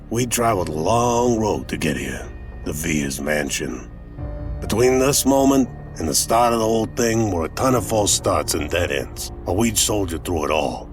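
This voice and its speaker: An actor playing an older private detective, narrating a noir audio drama in a wry, colloquial, world-weary way. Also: male voice, deep voice, animated. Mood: wry, reflective. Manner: wry, colloquial, deliberate storytelling. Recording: music under the voice. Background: music.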